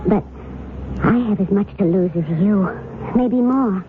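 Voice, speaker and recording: A woman's voice: medium pitch, a little slow, lightly acted. An actress in an old-time radio drama playing a guarded, troubled woman who answers in clipped, evasive phrases. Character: guarded, troubled woman secretly entangled in danger. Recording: muffled, very noisy old radio recording.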